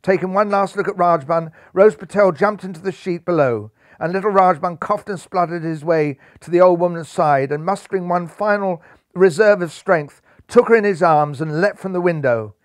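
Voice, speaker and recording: Older storyteller, higher-pitched voice. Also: male voice, normal speed, dry recording, clean studio sound. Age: 60s. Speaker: storyteller reading a children's book. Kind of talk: expressive storybook reading. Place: small furnished room, seated in armchair indoors.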